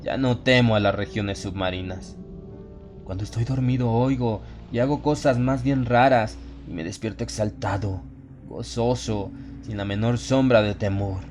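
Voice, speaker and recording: A man's voice: fairly low pitch, steady tone, normal speed, darkish tone, faint music behind, lightly acted. A man playing a haunted narrator slipping into exalted, fanatical madness in a solemn, theatrical horror audiobook. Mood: brooding, confessional. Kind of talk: dramatic first-person horror audiobook narration.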